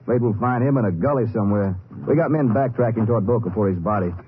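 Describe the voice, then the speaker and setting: An actor playing a friendly, folksy highway patrol lawman in a radio western, with an easygoing way of talking. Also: fast, close to an everyday voice. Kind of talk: old-time radio western acting. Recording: muffled, hissy old radio broadcast.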